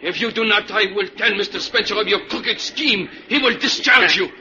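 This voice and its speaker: An actor playing a proud, hot-headed trapper, speaking emphatically in an old-time radio drama. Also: male voice, sits high, normal speed, sharp tone. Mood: angry, threatening. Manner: stiff, emphatic, refers to himself by name. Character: Pierre, proud hot-headed male French-Canadian trapper. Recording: muffled, noisy old radio recording.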